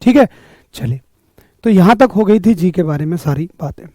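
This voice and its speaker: Man, hoarse sore throat, live physics teacher, upbeat coaching, mellow tone, dry close sound. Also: high-pitched, lively intonation, normal speed, no echo. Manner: greets viewers by name, upbeat coaching; frequently clears throat. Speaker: online exam-prep teacher. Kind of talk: live-streamed physics class.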